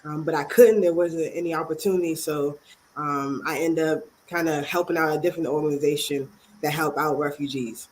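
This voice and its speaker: A congested woman from Maryland, speaking as a guest author and youth advocate in an interview, earnest and halting with many pauses. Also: low voice, fairly even intonation, darkish tone, a little hiss or hum. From Maryland, USA. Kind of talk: interview talk.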